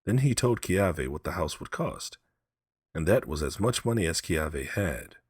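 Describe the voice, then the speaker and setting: A man's voice: low-pitched, very animated, a little slow, breathy edge, no room sound, studio quality. A man reading a story aloud as scripted storybook narration. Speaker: audiobook narrator, story reader.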